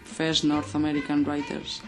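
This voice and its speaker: A woman's voice: deep voice, monotone, moderate pace, soft background music. A woman chatting casually as a co-host of a show. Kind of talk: casual chat.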